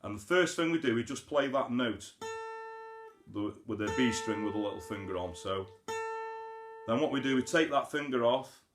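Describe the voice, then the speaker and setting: A man's voice: medium pitch, lively intonation, moderate pace, pauses often. Casual male guitar teacher, roomy sound. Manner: chatty, informal, explains step by step. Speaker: guitar teacher, host of an online lesson series. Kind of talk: casual spoken guitar tutorial. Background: acoustic guitar.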